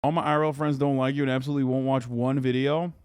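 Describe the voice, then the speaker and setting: A man's voice: medium pitch, flat delivery, fast, darkish tone, clear, resonant tone, no room sound, studio quality. A man in his thirties streaming live, chatting casually and bluntly with his chat, swearing often. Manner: profane, blunt, joking with chat. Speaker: streamer reacting to videos.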